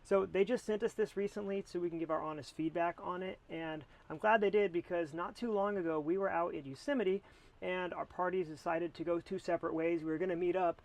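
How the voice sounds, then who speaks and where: A man's voice: sits high, steady tone, brisk, creaky, poor audio. An American man talking casually to camera as he reviews a product.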